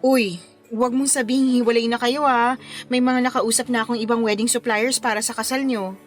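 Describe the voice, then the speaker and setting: A woman's voice: on the high side, steady tone, fairly quick, natural voice. An actress playing a friendly, joking woman wedding planner with a Filipino accent in a radio drama, with music behind. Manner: teasing, jokey, friendly.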